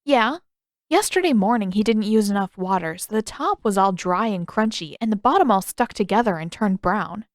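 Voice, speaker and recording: A woman voicing a gentle, tactful young boy in an audiobook, with a mild, placating manner. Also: mid-range voice, lively intonation, normal speed, dry recording, studio quality, natural voice. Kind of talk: audiobook character voice.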